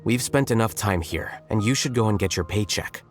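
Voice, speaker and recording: An actor playing a calm, coolly logical male scholar in a video game, measured, detached and precise. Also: on the low side, soft background music, natural voice. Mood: calm, matter-of-fact.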